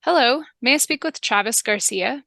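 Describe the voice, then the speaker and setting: Bright-voiced calm professional woman. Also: a little high, normal speed, creaky. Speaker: narrator and public health interviewer. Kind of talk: scripted training narration.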